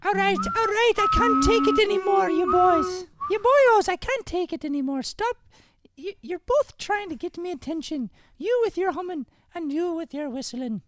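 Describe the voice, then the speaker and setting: A man's voice: extremely high pitch, animated, normal speed, hardly any pauses, fairly bright tone, full character voice. A man voicing a fussy, secretive young woman with an Irish accent in an improvised radio-style comedy. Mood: exasperated. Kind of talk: improvised old-time radio comedy acting.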